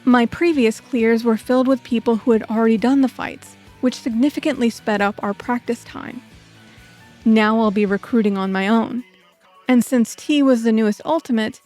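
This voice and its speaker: A woman's voice: fairly high pitch, a little mellow. A woman telling a personal story as scripted video essay narration.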